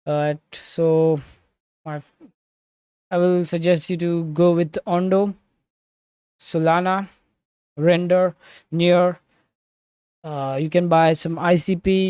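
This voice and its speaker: A man from Switzerland giving live crypto market commentary and answering chat, halting with many fillers, restarts and loose grammar. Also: on the high side, darkish tone, clean tone, dry recording. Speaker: crypto trading livestreamer.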